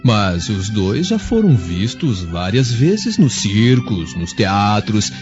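Sly, swooping Roman schemer. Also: male voice, on the low side, very animated, slow. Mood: gloating. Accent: Brazilian. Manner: insinuating, theatrical. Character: scheming, mocking Roman man sowing jealousy. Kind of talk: radio drama acting. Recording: dull lo-fi audio with music.

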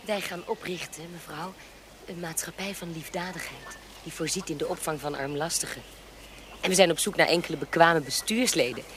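An actress playing a shrewd, composed baroness in a period audio drama, polite, measured and genteel. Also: female voice, low-pitched, background noise, lightly acted. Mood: smoothly persuasive.

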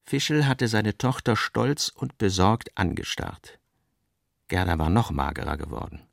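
A man narrates a literary radio production. His voice sits low, with a gravelly creak running through it. He reads scripted prose in a dry, close studio sound. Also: sing-song, measured pace, no room sound.

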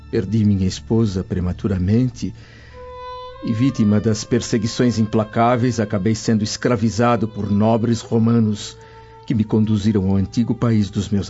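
A man acts out a humble slave in a radio drama, with a low, mellow voice. He speaks gravely and deferentially, sorrowful yet dignified, over music in a slightly dull recording. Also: natural voice. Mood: sorrowful, resigned. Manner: deferential, grave, measured storytelling.

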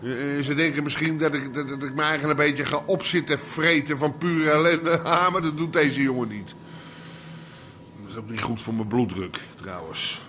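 A man's voice: fairly high pitch, steady tone, normal speed, background noise, natural voice. A man playing a tough, proud older crime boss in a radio drama, blunt and colloquial. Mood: blustering. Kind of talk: naturalistic Dutch radio drama acting.

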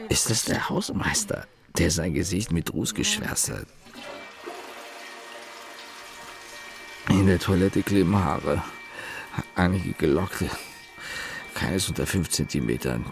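Ein Schauspieler als verkaterter junger Mann, der trocken und in knappen Satzfetzen seine Nacht erzählt, im Hörspiel. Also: male voice, sits low, very animated, unhurried, creaky, exaggerated voice. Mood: dry observing. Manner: clipped fragments, dry, sardonic. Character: weary hungover young man narrating his night. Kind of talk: radio drama monologue. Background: music.

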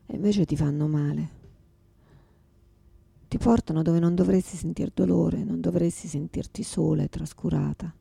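Radio theatre monologue: exhausted, confused mother, raspy and creaky, fragmented delivery, bitter and pleading. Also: low voice, dark tone, no room sound, full character voice. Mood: wounded, resentful. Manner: fragmented, repetitive, swearing, swinging from whispers to outbursts.

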